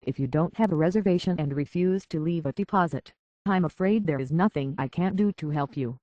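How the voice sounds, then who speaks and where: A man's voice: on the high side, fairly quick, dry recording, lo-fi sound, close to an everyday voice. A man voicing a courteous, anxious robot butler and hotel concierge in a video game, with a British accent and a formal, fussily polite manner. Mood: prim, officious. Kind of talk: scripted video game character acting.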